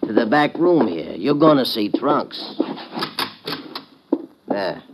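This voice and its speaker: Wheedling New junkman, medium pitch, bouncy melody, chummy sales patter, vintage radio comedy-drama style. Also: big pitch swings, slow, heavy background noise. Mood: chummy, selling. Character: haggling, chummy New York male junk dealer. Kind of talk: old-time radio comic character acting.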